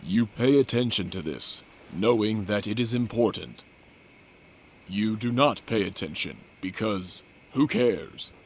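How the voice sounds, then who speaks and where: A man giving a deadpan, mock-solemn dramatic reading of a comic adventure story. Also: lowish voice, measured pace, gravelly, slight background noise. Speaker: narrator.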